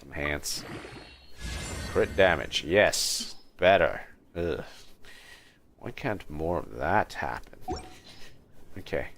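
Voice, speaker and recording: A man's voice: extremely low pitch, animated, takes it slowly, gravelly, long pauses. A man livestreaming a video game with casual commentary, muttering to himself as he thinks aloud. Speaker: livestreamer, gamer. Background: game music.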